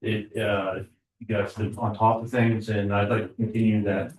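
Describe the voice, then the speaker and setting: A man serving as code enforcement officer, reporting to a borough council with a halting delivery, many filler words and repeated starts. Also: on the low side, level tone. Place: municipal council chamber. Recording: echoey chamber audio from far off.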